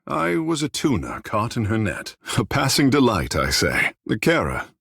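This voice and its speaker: An actor voicing a vain, flirtatious sea-folk water shaper in a video game, with theatrical, languid, florid delivery. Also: male voice, mid-range voice, wildly swooping pitch, moderate pace, creaky, a bit bright, husky, dry recording, studio quality, heavily acted. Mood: flirtatious, inviting. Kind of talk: video game character dialogue.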